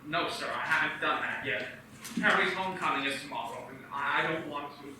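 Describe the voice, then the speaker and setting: An actor playing a desperate young family man in a live radio play, pleading and halting. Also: on the high side, sharp tone, slight background noise. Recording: echoey hall, voice from far off.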